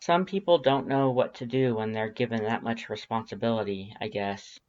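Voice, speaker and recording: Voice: medium pitch, moderate pace, a little mellow, highs cut off, close to an everyday voice. A narrator voicing an apologetic young woman with a casual, hesitant, awkward manner. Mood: evasive.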